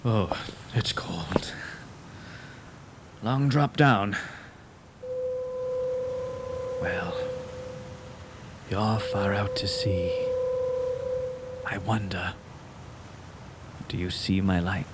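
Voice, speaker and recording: An actor playing a calm, solitary senior lighthouse keeper from the nineteenth century in a radio drama, musing and unhurried. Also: male voice, deep voice, heavy background noise. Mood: lonely, musing.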